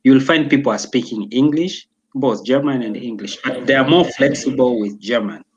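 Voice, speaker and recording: A young man from Tanzania talking casually as an interview guest, pausing often with fillers and restarts. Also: medium pitch, lo-fi sound, slight background noise. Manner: hesitant, many fillers and restarts.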